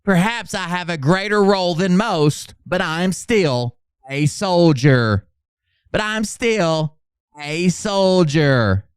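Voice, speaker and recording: Man putting on a theatrical, high-voiced self-important prophet. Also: smooth, no echo, clean studio sound. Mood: solemn, grandiose. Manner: grandiose, theatrical, emphatic pauses. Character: melodramatic self-important male doomsaying political prophet. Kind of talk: over-dramatic reading of posts.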